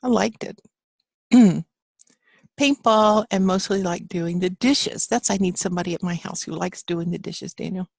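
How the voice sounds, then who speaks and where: A woman's voice: fairly low pitch, moderate pace, vocal fry, darkish tone, breathy edge, no echo, clean studio sound. A woman teaching a live online class from her home office, chatting with students and reading their answers aloud in an encouraging way. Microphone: computer mic on a video call.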